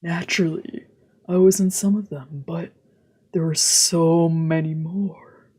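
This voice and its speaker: A woman voicing a troubled, intense young man from a visual novel, confiding in a slow, breathy, husky voice. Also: fairly low pitch, fairly even intonation, dark tone. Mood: dark, confessional.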